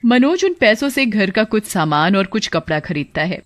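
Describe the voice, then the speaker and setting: A woman reading a scripted cartoon story as narrator, in a plain, measured storytelling manner. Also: mid-range voice, very animated.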